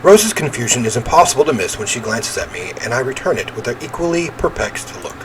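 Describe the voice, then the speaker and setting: A man reading visual novel narration aloud in an expressive storytelling way, with casual commentary. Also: mid-range voice, fast talker, noisy background. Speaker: video game let's player.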